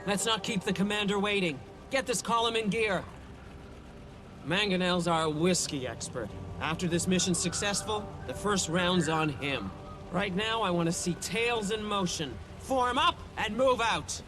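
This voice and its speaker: An actor playing a gruff beast-soldier who commands a war column with brisk military orders in a video game. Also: male voice, sits high, bright, forceful tone, soft background music. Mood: commanding.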